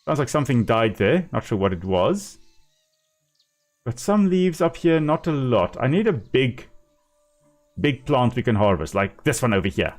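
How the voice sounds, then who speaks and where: Chatty South African man, lively voice. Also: medium pitch. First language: Afrikaans. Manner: chatty, laughs easily, explains as he plays. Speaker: game streamer and ecologist. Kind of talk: casual live-stream commentary.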